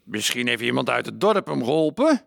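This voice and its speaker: A man playing a gossipy wartime village farmer in a Dutch audio drama, talking in an animated, conspiratorial way. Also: mid-range voice, brisk, gravelly, no echo, clean studio sound. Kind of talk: scripted radio drama dialogue.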